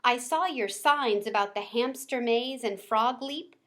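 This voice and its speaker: A woman's voice: on the high side, measured pace, fairly bright tone, voice pushed up. A woman voicing a strict, particular female school gym teacher in a storybook, formal and firm.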